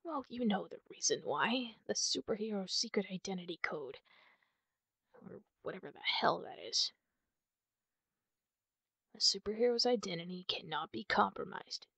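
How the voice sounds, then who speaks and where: A woman playing a wounded, guarded and prickly masked superheroine in an ASMR roleplay, half-whispering in a breathy, husky voice with halting, pained sighs. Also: medium pitch, expressive, fairly bright tone, no echo, full character voice. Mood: defensive. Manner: halting, sighing, pained delivery.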